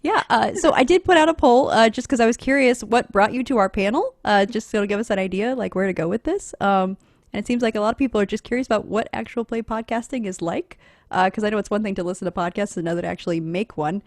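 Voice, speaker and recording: A woman's voice: mid-range voice, fast talker, dry recording, studio quality. A woman podcaster chatting casually on a panel, giggly and talkative.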